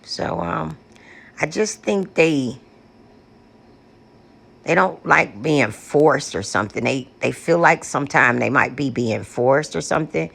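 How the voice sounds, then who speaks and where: Deep, coarse-voiced woman calling softly. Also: lively intonation, unhurried, creaky. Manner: gentle, pleading, repetitive calling out. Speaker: paranormal vlogger. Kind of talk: slow, hushed spirit invocations mixed with casual chat to viewers. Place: outdoors on a front porch. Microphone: external microphone.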